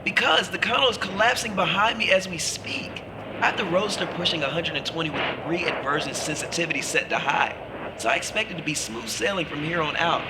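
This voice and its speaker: A man's voice: on the high side, a little fast, lightly acted. A man playing an eccentric, self-absorbed billionaire tech boss, breezy and glib, in a sci-fi audio comedy. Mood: breezy, hurried. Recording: very noisy car phone call, vehicle roar.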